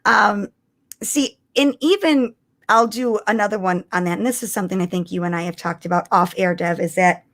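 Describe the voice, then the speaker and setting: A woman co-hosting a casual fan discussion, telling things in an animated way and often checking in with the listener. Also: mid-range voice, normal speed. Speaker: podcast co-host.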